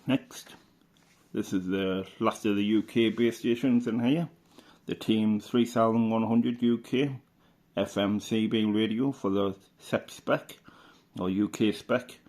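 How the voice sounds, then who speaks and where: A middle-aged man from the UK, a radio hobbyist chatting casually through an old catalogue, rambling and unhurried with lots of fillers and restarts. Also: on the low side, steady tone, a little mellow. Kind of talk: casual reminiscing commentary.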